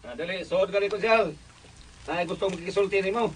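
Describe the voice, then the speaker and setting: A man's voice: high voice, quick pace, slight background noise, natural voice, poor audio. A man playing a harried village captain confronting a wayward official in a comedy drama, with a Cebuano Filipino accent. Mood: grave. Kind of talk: comedic radio drama acting.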